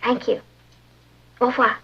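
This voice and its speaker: A young woman playing a polite, dutiful teenage girl with a genteel, measured manner in a vintage TV sitcom. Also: lo-fi sound, close to an everyday voice.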